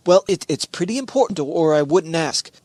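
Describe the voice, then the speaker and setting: A man playing a mild-mannered husband and office worker in a classic radio comedy. His fairly high voice is polite and hesitant, with a wry note of resignation. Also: lively intonation, moderate pace, darkish tone, slightly rough, no echo, studio quality. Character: mild, deferential male employee and husband, wryly resigned. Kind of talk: old-time radio sitcom acting.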